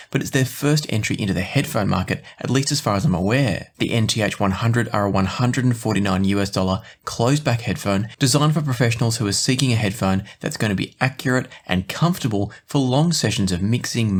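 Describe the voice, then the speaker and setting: A man presenting a product review as host, explaining details with enthusiasm. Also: on the low side. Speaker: host of an audio gear review show.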